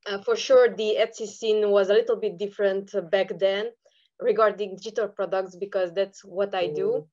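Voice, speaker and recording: A woman's voice: mid-range voice, steady tone, moderate pace, darkish tone. Romanian-accented woman, slightly dull recording. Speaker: podcast guest, online seller. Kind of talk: conversational interview answers.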